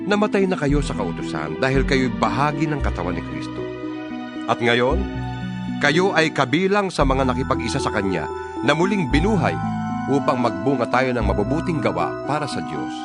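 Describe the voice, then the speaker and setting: Guy doing dramatic bible reading, solemn and emphatic, really lively intonation, music playing behind him. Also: mid-range voice. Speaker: scripture narrator. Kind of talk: dramatized scripted Bible narration. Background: background music.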